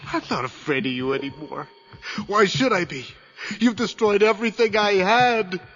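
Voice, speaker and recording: A man playing a haunted, anguished character tormented by his twin, halting and strained, in a radio drama. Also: high voice, bright, forceful tone, exaggerated voice. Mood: defiant, bitter. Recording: muffled old radio recording, faint music.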